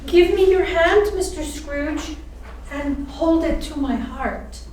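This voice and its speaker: A woman acting in a radio play as a gentle, solemn small child spirit, with measured, old-fashioned phrasing. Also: high-pitched. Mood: beckoning. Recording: echoey, distant stage recording, faint noise.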